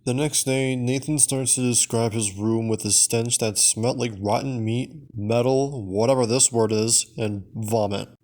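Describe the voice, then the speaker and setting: A man's voice: mid-range voice, fairly even intonation, measured pace, few pauses, a little mellow. Casual man narrating a video recap. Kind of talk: casual scripted recap commentary.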